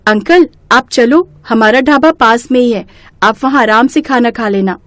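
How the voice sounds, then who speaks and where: Little girl acted by a woman, high-pitched, eager, caring, polite, earnest storybook character, dry recording. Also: moderate pace, dry recording, natural voice. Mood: polite, friendly. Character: young twin granddaughters, eager, caring, polite little girls.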